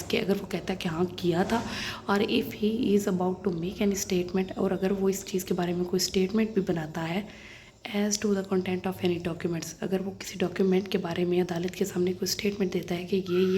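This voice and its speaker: A woman's voice: fairly low pitch, dark tone. A woman lecturer methodically reading legal text aloud and explaining it, repeating and rephrasing points for students. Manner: alternates English legal clauses with simple Hindi-Urdu explanation. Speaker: law lecturer. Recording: noticeable background noise.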